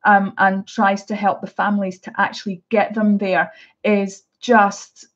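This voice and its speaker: Slow-talking Scottish woman, hesitant chat. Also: mid-range voice, flat delivery, lo-fi sound. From Scotland. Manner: hesitant, many ums and restarts, chatty. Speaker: art vlogger. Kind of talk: casual live unboxing chat.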